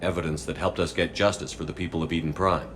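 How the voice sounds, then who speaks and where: Military commander, very low pitch, stoic, firm, faint hum, video game. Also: male voice, fairly even intonation, fast talker, a bit bright. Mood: resolute. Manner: firm, restrained.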